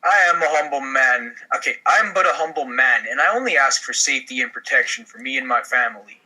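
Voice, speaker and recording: A man's voice: medium pitch, animated, fast, bright, forceful tone, poor audio. A man reading a comedy script aloud among friends, with informal, wisecracking improvised asides. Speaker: podcast cast member reading a script.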